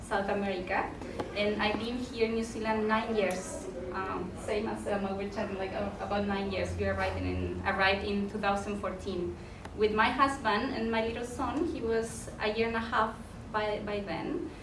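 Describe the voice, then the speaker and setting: A woman's voice: medium pitch, flat delivery, normal speed, hardly any pauses. A woman from Chile introducing herself as guest speaker at a community workshop, earnest and friendly with some fillers. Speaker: guest speaker, education advisor. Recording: roomy, distant, noisy room.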